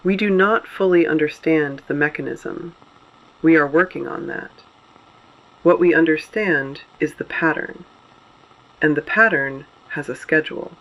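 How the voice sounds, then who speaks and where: Creaky-voiced, solemn resistance broadcaster played by a man. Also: on the high side, highs cut off. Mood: solemn, measured. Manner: measured, solemn, deliberate phrasing. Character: grave adult male resistance broadcaster reporting on fallen cities. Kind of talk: scripted dystopian radio broadcast narration.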